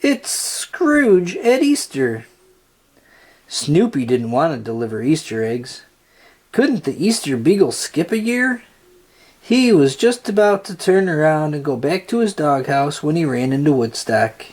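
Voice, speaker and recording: A narrator reading a children's storybook aloud in a deliberate, expressive storytelling manner. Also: mid-range voice, breathy edge.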